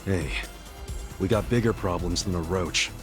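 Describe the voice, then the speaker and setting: An actor voicing a brash, cheeky, chatty yakuza hero in an English video game dub. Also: male voice, deep voice, moderate pace, music in the background, close to an everyday voice. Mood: casual, curious.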